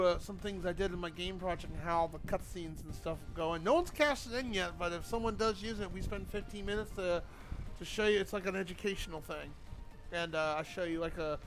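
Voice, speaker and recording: A man's voice: high-pitched, brisk, fairly bright tone, rough recording. A man live streaming a game, chatting in an informal, rambling, sweary way. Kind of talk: casual chat while gaming. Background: video game music.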